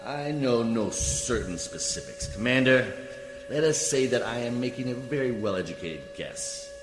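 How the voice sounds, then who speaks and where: A man's voice: mid-range voice, huge pitch swings, moderate pace. A man acting a coolly formal, condescending alien judicial official in a science fiction radio play, speaking with precise diction. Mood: smugly condescending. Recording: echoey hall reverb, music behind.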